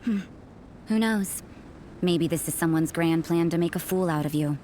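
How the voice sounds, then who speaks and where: An actress voicing a cool, sardonic, rebellious nun in a video game, with a dry, dismissive, unhurried delivery. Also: female voice, on the low side, background noise, lightly acted.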